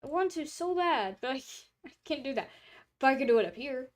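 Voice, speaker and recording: A young woman with a fairly high voice chats loosely on a stream, circling back with fillers and restarts as she talks. Her throat sounds a bit dry and hoarse. She is talking from a room at home. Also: lively intonation, brisk. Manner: rambling, chatty, lots of filler words and restarts. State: throat drying, slightly hoarse. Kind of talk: casual stream chat.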